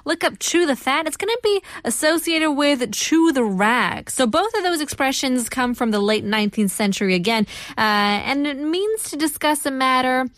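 A woman's voice: high-pitched, animated, normal speed, hardly any pauses, a bit bright, no room sound, studio quality. A woman co-hosting a show on English expressions, explaining and chatting in a casual, informal way. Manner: informal, interjecting.

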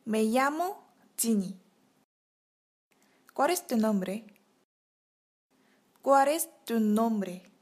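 Female language teacher, fairly high voice, slow and clear drill delivery. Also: expressive, a little mellow. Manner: slow, clear model phrases for repeating. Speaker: Spanish teacher.

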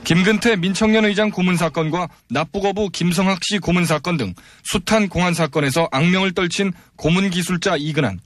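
A male reporter reads a scripted news package in a steady, formal cadence. His voice sits notably high for a man. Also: brisk, hardly any pauses.

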